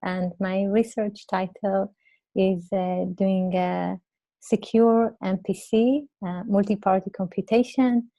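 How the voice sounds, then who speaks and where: Slow, hesitant woman on a dull, dry recording. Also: mid-range voice, fairly even intonation, warm tone, no echo. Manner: hesitant, many "uh" pauses. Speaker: PhD student. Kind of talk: interview answers.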